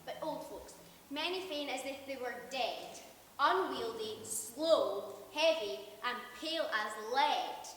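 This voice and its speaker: A woman plays a lovestruck teenage girl on the Shakespearean stage, bright and highly animated. She declaims with urgent, pleading impatience in a reverberant hall, away from the microphone. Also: slow pace, full character voice. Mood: restless longing. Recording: very echoey hall, distant mic, faint noise.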